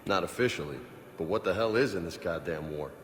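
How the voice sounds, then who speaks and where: A man's voice: low voice, fairly quick, natural voice. A man playing a cocky, eager young marine lieutenant in a video game, casual, swaggering and playful. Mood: cocky, earnest.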